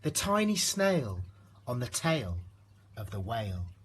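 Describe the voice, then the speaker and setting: A man reading a rhyming children's storybook aloud in an expressive narrating style. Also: medium pitch, slow pace, slightly rough. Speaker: storybook reader.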